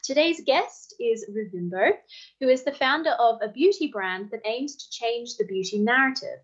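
Female interviewer, pitched a little high, conversational and informal, somewhat lo-fi sound. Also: animated, moderate pace. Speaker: radio show co-host.

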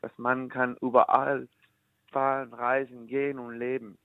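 A man from the United States, a musician, answering in halting German and slipping into English. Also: medium pitch, little pitch movement, smooth, dry recording. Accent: American. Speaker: musician guest. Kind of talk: casual interview in learner German. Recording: dry, phone-like line.

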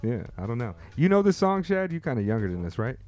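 A man's voice: mid-range voice, big pitch swings, fast talker, a little mellow, faint music behind. A man hosting a podcast, talking in a loose, slangy, joking way. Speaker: podcast host. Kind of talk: casual interview chat.

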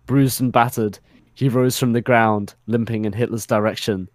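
Male narrator, mid-range pitch, mock-serious storyteller for comedic fan fiction reading, dry recording. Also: fairly even intonation, moderate pace, no room sound.

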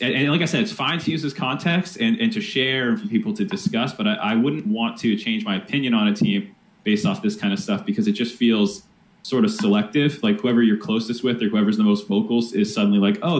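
A man's voice: medium pitch, reverberant. A male co-host on an esports talk show, giving his opinion casually, hedging and restarting as he thinks aloud.

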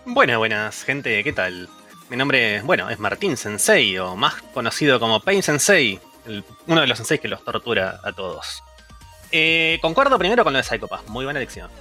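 A man's voice: mid-range voice, very animated, moderate pace, bright, forceful tone, faint music behind. A man in his twenties with an Argentine accent, a language teacher joking around in a casual group chat. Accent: Argentine Spanish. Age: 20s. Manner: jokey, playful, rambling.

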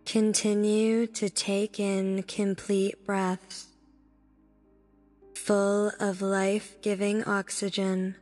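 A woman narrating a guided meditation in a soothing, deliberate manner, speaking in short instructive phrases. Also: medium pitch, darkish tone. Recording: long reverb with background music. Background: music.

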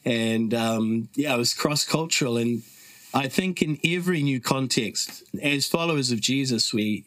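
Middle-aged New Zealand man, podcast guest, earnest storyteller, mid-pitched voice, dry recording. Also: unhurried, fairly bright tone, no room sound, poor audio. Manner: reflective, earnest, with frequent fillers. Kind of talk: casual conversational storytelling.

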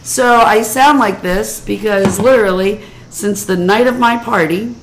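A woman in her fifties co-hosting a casual podcast, her voice a bit hoarse and raspy, chatting and laughing easily. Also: medium pitch, unhurried, a little hiss or hum, poor audio. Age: 50s. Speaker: podcast co-host. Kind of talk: casual chat.

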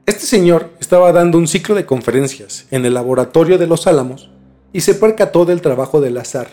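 A man's voice: fairly high pitch, lively intonation, fairly quick, mellow. A man with a Mexican accent hosting a podcast, mixing narrated storytelling with slangy, colloquial chat. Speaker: podcast host. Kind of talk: conversational storytelling mixed with scripted narration. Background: music.

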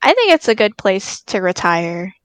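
A woman's voice: mid-range voice, very animated, normal speed, smooth, no echo. A woman in her early twenties in casual chat, giggly and telling stories with quoted asides.

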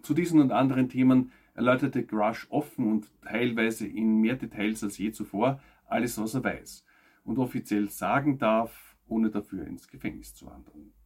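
A man reads scripted news as a presenter, in measured, emphatic phrases that fall away at sentence ends. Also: fairly low pitch, a little mellow, husky.

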